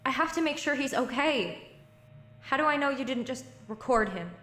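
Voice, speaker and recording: A woman's voice: high-pitched, lively intonation, moderate pace, fairly bright tone. A woman playing a determined, anxious young college student in an audio drama. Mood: anxious, defiant. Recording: roomy, faintly noisy recording.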